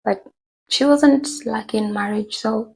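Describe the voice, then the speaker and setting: A woman talking casually to her viewers, chatty and rambling with many fillers, her voice hoarse from a cold. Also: a little high, measured pace, poor audio. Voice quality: husky, gravelly. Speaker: vlogger. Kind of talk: casual commentary to camera.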